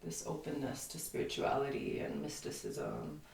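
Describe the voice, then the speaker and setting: A woman's voice: low-pitched, steady tone, normal speed, vocal fry, a little mellow, a little hiss or hum. A woman in her thirties who grew up in Lithuania and whose first language is Lithuanian. She is a guest in an interview, talking reflectively and rambling with many fillers. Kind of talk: casual interview conversation. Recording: echoey room, distant mic, faint ambience.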